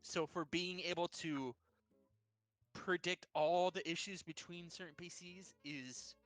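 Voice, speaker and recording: Youthful-sounding man in his thirties, bright. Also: high voice, steady tone, measured pace, faint music behind, poor audio. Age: 30s. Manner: rambling, many restarts, laughs at himself. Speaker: tabletop game player. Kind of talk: casual chat between players.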